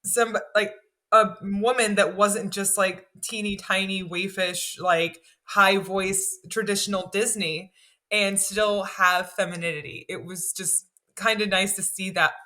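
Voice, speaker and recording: A woman talks casually on a podcast panel, animated and full of "like". Her voice is bright and forceful. She describes it herself as low and deep. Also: unhurried. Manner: chatty and animated, heavy use of "like".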